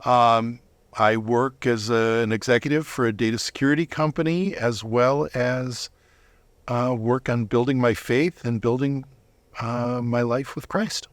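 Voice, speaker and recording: A man's voice: mid-range voice, steady tone, a little slow, no room sound. An American man from Minnesota speaking as a studio interview guest, casual and thoughtful, often searching for his words. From Minnesota, United States. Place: recording studio.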